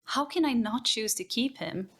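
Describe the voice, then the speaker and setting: A woman's voice: fairly high pitch, expressive, normal speed, slight background noise. A woman playing an eccentric, curious tech-priest scholar, affectionate and a little nervous, in a sci-fi audio drama. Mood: fond storytelling.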